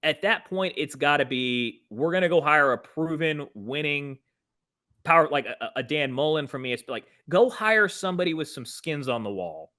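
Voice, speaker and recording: A man's voice: on the high side, lively intonation, normal speed, bright, forceful tone. A man co-hosting a sports podcast, talking casually in a blunt, emphatic, exasperated way. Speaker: podcast co-host.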